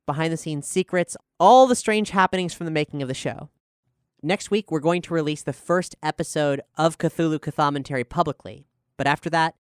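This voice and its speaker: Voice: mid-range voice, clean tone, no room sound, clean studio sound. A podcast showrunner and host talking casually with guests, then reading out an earnest promotional announcement to listeners.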